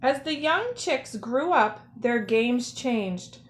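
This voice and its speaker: A woman reads a children's picture book aloud with expressive phrasing. Her voice sits a little high and she goes slowly, setting out each phrase with care for young listeners. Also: a little hiss or hum. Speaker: storyteller, zoo educator.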